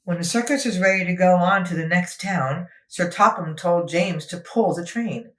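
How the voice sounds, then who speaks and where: A woman's voice: fairly low pitch, moderate pace, a bit bright, reverberant. A woman storyteller narrating a children's book expressively, as if for young listeners.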